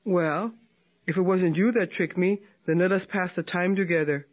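A woman's voice: lowish voice, moderate pace, lightly acted. A woman voicing a hungry, gullible, hot-tempered male coyote who grumbles and threatens. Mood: wary. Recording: dull lo-fi recording.

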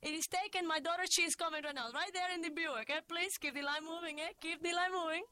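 A woman storyteller voicing a feisty, shameless old Cuban mother, excitable and commanding, with a Cuban accent and broken English. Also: extremely high pitch, quick pace, pitched above the performer's own voice. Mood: insistent. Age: older. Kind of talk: live-style storytelling.

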